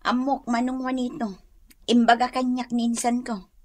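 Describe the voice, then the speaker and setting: A woman's voice: a little high, completely flat delivery, normal speed, lightly acted. An actress playing a self-assured, teasing young single woman in a comedy radio drama, speaking with an Ilocano accent. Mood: cool, scolding.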